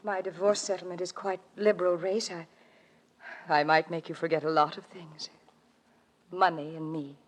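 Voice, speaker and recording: An actress playing a calculating, seductive murderess in a vintage 1940s radio mystery, poised and insinuating. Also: female voice, lowish voice, little pitch movement, moderate pace, pauses often, natural voice. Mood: seductive menace. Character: cool, seductive, calculating murderous femme fatale. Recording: vintage 1949 radio recording.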